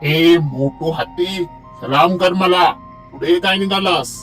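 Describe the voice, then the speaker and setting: A conceited cartoon wolf voiced by a man swaggers and threatens in a fairly high voice. He sounds bossy and smug over faint music. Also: slow, a bit bright. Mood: menacing. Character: swaggering conceited male wolf, jungle bully. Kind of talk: children's cartoon story acting.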